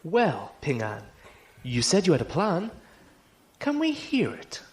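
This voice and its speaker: Scheming admiral, played by a man: insinuating, courtly, very swooping intonation, fairly high voice, space drama. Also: wildly swooping pitch, slow, husky, full character voice. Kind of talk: science fiction audio drama.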